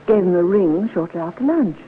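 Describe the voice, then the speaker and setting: A woman's voice: fairly low pitch, expressive, brisk, lightly acted. An actress playing an anxious, insistent, genteel lady with a fretful, proper manner in a classic radio mystery. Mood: worried, confiding. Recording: tinny, noisy old radio recording.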